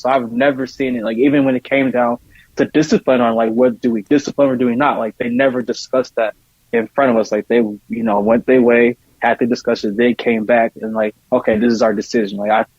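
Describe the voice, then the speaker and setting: A man's voice: medium pitch, a little mellow, husky, no echo, lo-fi sound. A man speaking casually and earnestly as a podcast guest, often repeating points for emphasis. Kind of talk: casual conversation.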